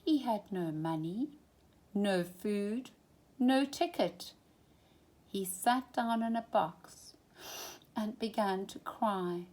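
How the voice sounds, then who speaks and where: A woman storyteller reading a children's storybook expressively, with deliberate, dramatic emphasis. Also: mid-range voice, darkish tone.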